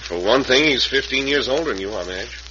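An actor playing an earnest, friendly small-town frontier doctor, folksy and plain-spoken, in old-time radio drama style. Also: male voice, mid-range voice, animated, fairly quick, pushed and bright. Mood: earnest, concerned. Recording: noisy, muffled vintage radio recording.

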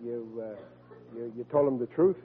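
A man's voice: mid-range voice, normal speed, lightly acted. An American actor playing a dim, sentimental working-class family man in a plaintive, blustering 1940s radio sitcom style. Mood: sheepish boasting. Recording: muffled, noisy old radio recording.

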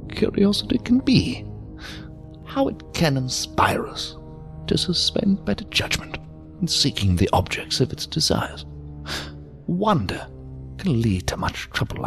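A man's voice: a little high, huge pitch swings, measured pace, exaggerated voice. An actor in an audio drama playing an odd, courteous, philosophical old man, speaking in a formal, ornate, theatrically sing-song way. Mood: cryptic, musing. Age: older. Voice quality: creaky, gravelly, breathy at times. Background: music.

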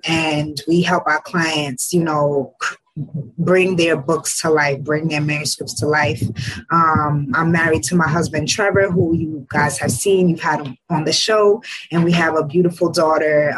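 A woman with a slightly husky voice, chatting casually as an interview guest. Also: low voice, slight background noise, rough recording. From Harlem, New York. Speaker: podcast guest, publishing CEO.

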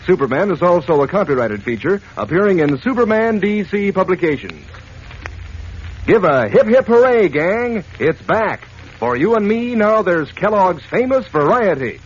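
A male announcer delivers an old-time radio sales pitch. His voice is on the high side and very animated. The recording is dull and a little noisy. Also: normal speed, husky. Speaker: commercial announcer. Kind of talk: 1940s radio advertising pitch. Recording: muffled old radio recording, faint noise.

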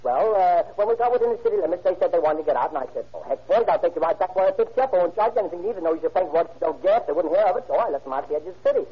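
Excitable, digressive cabbie for vintage radio drama: rapid-fire, rough-edged, muffled old recording. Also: male voice, high-pitched. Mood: nervous rambling. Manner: chatty, digressive, flustered. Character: nervous, rambling, excitable male cab driver. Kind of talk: old-time radio crime drama acting.

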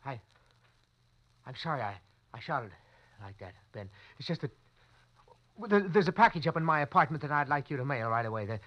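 An actor playing a nervous, desperate young college student, urgent and tense in a vintage radio drama. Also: male voice, medium pitch, lively intonation, brisk, pauses often, muffled recording, close to an everyday voice. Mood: nervous, placating. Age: young adult. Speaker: radio drama lead and narrator. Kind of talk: 1940s-50s radio acting with first-person narration.

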